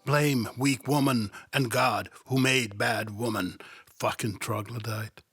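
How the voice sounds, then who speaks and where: A man's voice: medium pitch, fairly even intonation, slow, a bit bright, breathy edge, no echo, studio quality. A man hosting a podcast who reads scripture aloud and comments on it in a sarcastic, irreverent and profane way. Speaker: podcast host.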